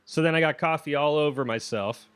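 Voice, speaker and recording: A man's voice: on the high side, a little fast, a bit bright, clear, resonant tone, no room sound. Casual male podcast host, dry close sound. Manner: rambling, self-deprecating, joking. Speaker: podcast host interviewing a comedian. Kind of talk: casual conversational interview.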